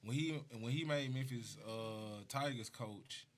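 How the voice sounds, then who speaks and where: A man's voice: mid-range voice, a little slow. A man from Mississippi with a Southern US accent, a rapper telling stories casually as a guest on a talk show. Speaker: rapper being interviewed.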